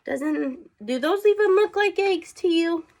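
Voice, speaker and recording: A woman's voice: very high voice, animated, a little slow, darkish tone. A woman teacher reading aloud to children, with chatty asides and questions for her young listeners.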